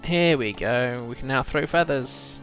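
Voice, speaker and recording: A man's voice: medium pitch, unhurried, a bit bright, faint music behind. A man chatting casually as he hosts a game livestream, rambling and thinking aloud to his chat while a bit under the weather with a cold. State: feels full of cold, often clearing throat.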